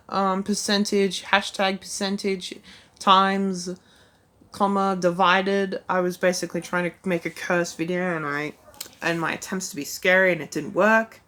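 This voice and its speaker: A woman vlogging in a loose, rambling way, her sentences full of "like" and "um." She looks back on her own channel with a self-deprecating edge. Her pitch sits in an ordinary middle range. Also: sharp tone. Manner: rambling, heavy use of "like" and "um". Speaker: YouTuber reviewing her own videos. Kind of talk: casual rambling vlog.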